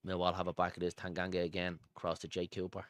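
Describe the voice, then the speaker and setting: Male fan-stream football commentator, Dublin accent, low pitch, chatty and pally with viewers, dry sound. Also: monotone, fast, dry recording. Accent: Dublin Irish. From Dublin, Ireland. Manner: informal, matey, addresses viewers as pal and buddy. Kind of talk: live football commentary mixed with casual chat to viewers.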